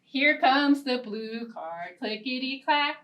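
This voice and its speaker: A young woman hosting a storytime for small children, speaking in simple, deliberate phrases. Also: high-pitched, lively intonation, highs cut off. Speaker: children's library storytime host. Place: indoor storytime set. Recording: distant mic, ukulele music. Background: ukulele strumming.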